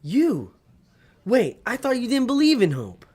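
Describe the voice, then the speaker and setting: A woman playing a good-hearted, eager and none-too-bright underling in an audio drama, with simple, unpolished speech. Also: on the high side, measured pace, breathy edge, full character voice. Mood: eager, puzzled. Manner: simple, unpolished grammar, excitable.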